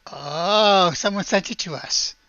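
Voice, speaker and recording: A woman plays a teenage village girl in a tabletop game, eager to share every secret. She boasts in a halting, slow way, with some creak in her voice. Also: medium pitch, a bit bright, dry recording, highs cut off. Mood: eager, puzzled. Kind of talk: tabletop roleplay.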